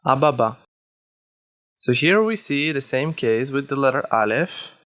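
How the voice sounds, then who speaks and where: Casting: male tutor, native Hebrew speaker, mid-pitched voice, deliberate instructional read that repeats key words for learners. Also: animated, fairly bright tone. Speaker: language teacher. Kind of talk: instructional lesson, explaining step by step.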